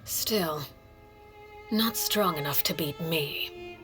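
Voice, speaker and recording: An actress playing a cold, commanding woman leader in a video game, speaking with measured, formal menace. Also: lowish voice, sing-song, music in the background, exaggerated voice. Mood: cool disdain. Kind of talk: dramatic video game voice acting.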